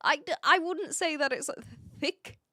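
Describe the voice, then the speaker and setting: A woman's voice: high voice, a little slow, sharp tone, dry recording, studio quality. A woman from New Zealand streaming live and chatting casually with viewers, rambling a little with restarts and fillers.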